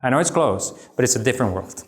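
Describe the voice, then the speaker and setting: A middle-aged man answers questions from a lecture audience. His voice sits at an ordinary pitch, and he talks in an animated, emphatic way with wry asides and many restarts. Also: quick pace. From Dominican Republic. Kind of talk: lecture Q&A, conversational.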